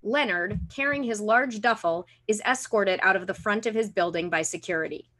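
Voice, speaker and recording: A woman's voice: medium pitch, normal speed, a bit bright. A young adult woman reading screenplay stage directions as scripted narration on a video call. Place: room at home, video call.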